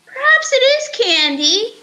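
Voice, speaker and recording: A woman playing a slow-talking male police inspector, a coaxing and theatrical suitor in an old-time radio show. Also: very high-pitched, big pitch swings, pushed and bright, exaggerated voice, low-quality recording.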